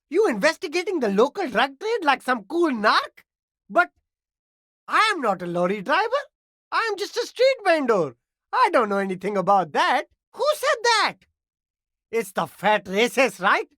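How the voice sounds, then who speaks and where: High-pitched, animated street vendor. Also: male voice, no room sound, studio quality, heavily acted. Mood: defensive, then indignant. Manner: ingratiating, evasive, pleading sales patter. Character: immigrant male street vendor, eager, defensive, salesy hustler. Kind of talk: video game character dialogue.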